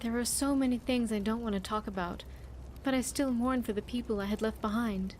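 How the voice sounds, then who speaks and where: A woman's voice: on the high side, brisk, a little mellow, slight background noise, close to an everyday voice. A woman voicing an earnest, wistful vault survivor companion in a game, speaking in formal, reflective monologue. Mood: wistful, sorrowful. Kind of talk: scripted game dialogue, confiding monologue.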